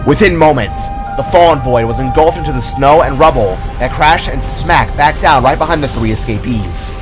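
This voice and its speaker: A man's voice: medium pitch, normal speed. A man reading his own scripted action story as narrator, in a dramatic storytelling style. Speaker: author-narrator. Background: music.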